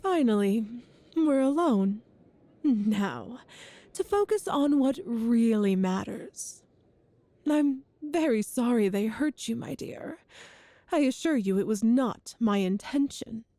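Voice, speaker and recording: A woman playing a cruel, seductive empress in a dramatic ASMR roleplay, with haughty, theatrical, deliberate phrasing. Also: fairly high pitch, animated, warm tone, dry recording. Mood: softly tender. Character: cruel imperious empress, seductive, menacing tyrant.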